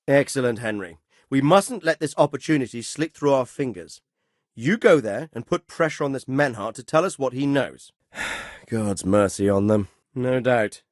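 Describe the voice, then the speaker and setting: An actor playing a stern but fatherly middle-aged nobleman and commander in a video game, speaking with measured, formal authority. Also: mid-range voice, dry recording, clean studio sound, natural voice. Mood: businesslike. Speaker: feudal lord and military commander. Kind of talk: video game dialogue acting.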